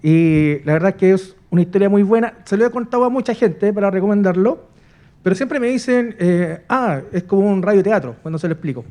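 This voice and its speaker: A man's voice: high voice, brisk, mellow. A man in the audience at a live event, putting an informal question to a panel. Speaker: audience member asking a question.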